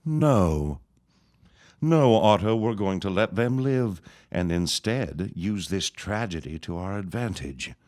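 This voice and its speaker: An actor playing a scheming, vengeful elder reverend in a period radio drama, speaking in a pompous, florid, sneering manner. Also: male voice, deep voice, expressive, takes it slowly, a little mellow, no room sound, studio quality. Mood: scheming, menacing.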